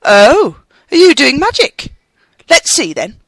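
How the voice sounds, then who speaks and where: A reader voicing a bossy young schoolgirl witch who talks very fast, in a storybook reading. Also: sits high, sing-song, fairly bright tone, no echo, voice pushed up, heavily acted.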